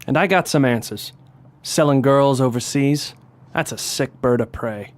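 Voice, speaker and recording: A man's voice: mid-range voice, a little slow, natural voice. A man playing a tough, sardonic police detective in a noir comedy audio drama, with a threatening edge. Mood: cool menace. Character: tough, wry hard-boiled male police detective. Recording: music behind the voice.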